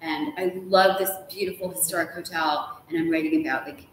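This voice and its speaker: Female author guest, middle-aged, chatty and effusive, on the low side, roomy sound with some background noise. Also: steady tone, a little fast, a bit bright. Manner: gushing, chatty, frequent "like". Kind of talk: animated casual conversation. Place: large hotel room, indoors.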